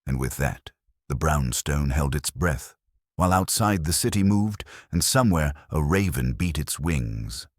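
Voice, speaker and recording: A man reading scripted first-person detective fiction in a noir style, with a gravelly, husky voice. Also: extremely low pitch, animated, a little slow, no echo, clean studio sound. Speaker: narrator of a detective story.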